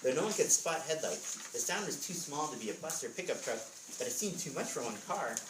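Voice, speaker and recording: Guy reading his book out loud with chatty asides, roomy echo, mic kinda far off. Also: on the high side, animated, brisk. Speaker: author reading from his book at a school readers theatre. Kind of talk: expressive storybook reading with casual asides. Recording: echoey room, distant mic, background noise.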